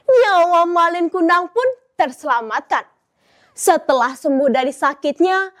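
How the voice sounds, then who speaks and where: A teenage girl telling a folktale in a school storytelling contest, expressive and dramatic, speaking to judges and audience. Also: very high-pitched. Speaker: student storyteller.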